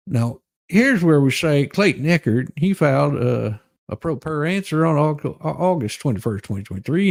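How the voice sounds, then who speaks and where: A man's voice: medium pitch, a little mellow. A man giving a comedic dramatic reading of a legal document, theatrical and folksy, with mocking asides. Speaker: streamer performing a dramatic reading.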